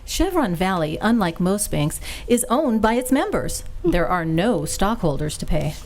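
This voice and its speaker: A woman's voice: mid-range voice, sing-song, measured pace, darkish tone. A woman reading a commercial in a polished, persuasive manner for a live radio show. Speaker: ad reader in a radio play.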